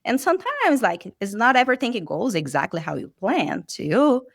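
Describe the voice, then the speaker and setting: A young adult woman from Brazil with a Brazilian accent, chatting as an interview guest, lively with lots of filler likes. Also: on the high side, normal speed, dry recording, clean studio sound. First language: Portuguese. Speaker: guest, medical sales rep. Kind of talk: casual interview talk.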